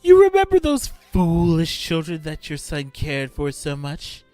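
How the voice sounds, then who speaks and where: A man playing a deranged, gleeful killer in a fan audio drama, theatrical, gloating and mocking, with drawn-out words. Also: a little high, wildly swooping pitch, dark tone, no echo, soft background music.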